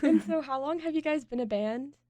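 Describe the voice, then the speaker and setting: A woman's voice: high-pitched, fairly quick, warm tone, no room sound, clean studio sound. A young woman hosting a college radio interview, asking friendly, plain questions.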